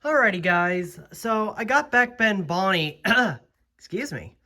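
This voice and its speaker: Slow, hesitant young man losing his voice. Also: high voice, sing-song. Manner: slow, hesitant, full of ums and uhs. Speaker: host. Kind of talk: casual vlog narration.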